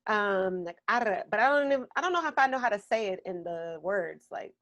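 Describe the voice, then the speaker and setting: A woman with a Baltimore accent hosting a podcast, chatty and quick to laugh, filling her talk with "like" and "um". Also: medium pitch, expressive, a bit bright, highs cut off. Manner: chatty, laughs often, lots of "like" and "um". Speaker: podcast host. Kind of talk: casual chat and guest introduction.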